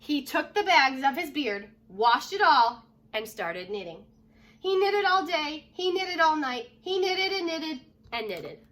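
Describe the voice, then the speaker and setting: A woman's voice: high-pitched, measured pace. A woman reading a children's storybook aloud as a teacher would, expressively, leaning on key words. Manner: expressive, stresses repeated words, asks listeners questions.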